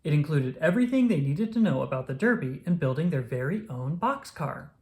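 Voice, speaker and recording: A woman reading a children's story aloud, with expressive, deliberate phrasing for young listeners. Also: low voice. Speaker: storybook reader. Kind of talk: children's read-aloud narration.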